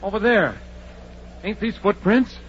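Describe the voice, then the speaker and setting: A man's voice: high-pitched, measured pace. An actor playing a timid, superstitious rural man, nervous, hesitant and folksy, in an old-time radio horror drama. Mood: uneasy. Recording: muffled, noisy vintage radio recording.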